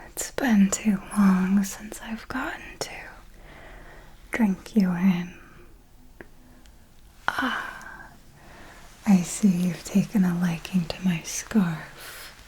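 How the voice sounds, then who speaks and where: Female vampire mentor, acted by a woman for ASMR: elegant, commanding, teasing, very slow breathy whispering. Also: medium pitch, rough recording. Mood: seductive, teasing. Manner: slow, deliberate, velvety, formal and teasing.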